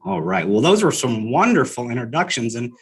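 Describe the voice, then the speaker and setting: A man's voice: mid-range voice, very animated, fairly quick, breathy edge. A man in his forties hosting a panel interview, encouraging and friendly with his guests. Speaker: host.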